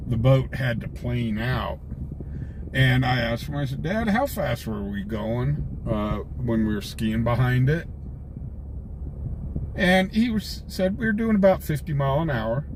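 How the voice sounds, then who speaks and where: Slow-talking American man over loud car noise. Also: medium pitch. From United States. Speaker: livestream host, scanner-chasing reporter. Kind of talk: casual chat with livestream viewers. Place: inside a car, live on patrol. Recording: raw livestream, loud vehicle noise.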